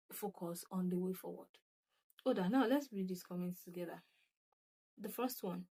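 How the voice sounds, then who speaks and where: A woman's voice: medium pitch, dark tone, low-quality recording. A young Nigerian woman whose first language is Yoruba hosts her own channel, reading viewer comments aloud and reflecting on them in a chatty way, with frequent restarts and laughter. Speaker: channel host. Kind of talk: casual talk to camera, reading comments.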